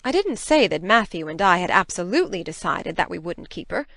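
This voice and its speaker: Casting: actress as no-nonsense middle-aged farm spinster, scolding, animated delivery, close dry recording. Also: female voice, on the low side, brisk, creaky, no room sound, heavily acted. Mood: measured, hesitant. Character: stern, brisk, practical middle-aged spinster farm woman. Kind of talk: audiobook dramatic reading.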